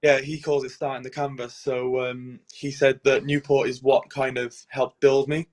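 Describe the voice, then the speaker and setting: A man's voice: mid-range voice, normal speed. A man in his twenties with a Cardiff Welsh accent, a goalkeeper answering interview questions casually with many "um"s. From Wales. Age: 20s. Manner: relaxed, many "um"s and "and stuff". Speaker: guest goalkeeper.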